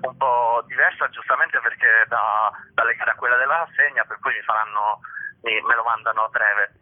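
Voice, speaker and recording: A man's voice: mid-range voice, fairly even intonation, normal speed. A man being interviewed as a stage actor, chatting casually in a hesitant, self-deprecating way over a phone. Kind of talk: casual interview talk about own work. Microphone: telephone. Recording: muffled narrow-band phone line.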